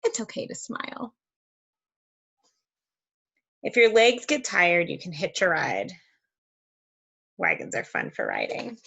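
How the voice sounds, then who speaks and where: A woman reading a picture book aloud to young children, expressive and encouraging, with conversational asides. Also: fairly low pitch, slow, gravelly, long pauses, husky. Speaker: read-aloud host for children.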